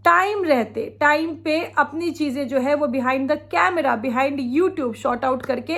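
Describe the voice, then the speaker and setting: Chatty high-voiced woman vlogger with a cold. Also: a bit bright. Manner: chatty and opinionated, laughs often. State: sore throat from a cold. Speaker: vlogger reacting to other creators. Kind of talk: casual reaction vlog commentary.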